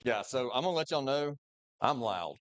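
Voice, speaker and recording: A man, a detective, giving a loud, chatty and joking talk to an audience. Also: medium pitch, animated, moderate pace, no echo. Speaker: sheriff's detective presenting on scams. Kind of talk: informal presentation to an audience. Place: community meeting hall.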